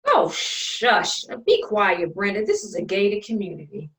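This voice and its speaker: An actress playing a haughty, condescending sister in a play reading, scolding with sarcastic, sugary endearments and careful enunciation. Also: female voice, medium pitch, huge pitch swings, a little slow, a bit bright, far from the mic, exaggerated voice, low-quality recording. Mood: dry sarcasm. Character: haughty, sharp-tongued older twin sister, polished and condescending.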